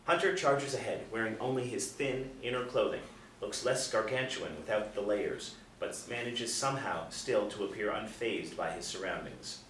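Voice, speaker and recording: A man's voice: lowish voice, moderate pace, a bit bright. A man reading stage directions as scripted narration at a table reading. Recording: roomy, distant mic, faint noise.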